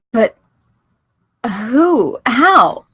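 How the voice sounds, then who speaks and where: A woman's voice: on the high side, sing-song, drawn-out words, bright, forceful tone, slightly rough, no echo, studio quality, exaggerated voice. A woman at a table read playing a shaken, doubting young woman in a film drama. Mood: grieving, bewildered. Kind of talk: screenplay table read acting.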